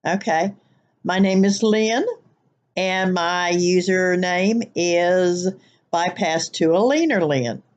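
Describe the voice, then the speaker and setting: A woman's voice: fairly low pitch, takes it slowly. Older woman with a Southern-Midwest twang. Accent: Southern US mixed with Wisconsin nasal twang. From American Midwest. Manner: chatty, rambling, with restarts. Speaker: vlogger. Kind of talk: casual chat, accent tag video. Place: living room, sitting on a couch.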